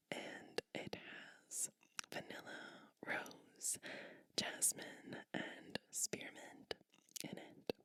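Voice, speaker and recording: A woman speaks entirely in a breathy, bright whisper, as in an ASMR roleplay. She moves slowly and attentively from phrase to phrase. She addresses the listener directly in a soothing, unhurried way. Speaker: ASMR creator playing a gentle witch.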